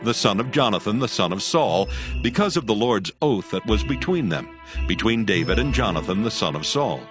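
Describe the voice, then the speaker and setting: A man with a fairly deep voice narrates scripture. His delivery is solemn and measured, and music plays clearly behind him. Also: big pitch swings. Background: background music.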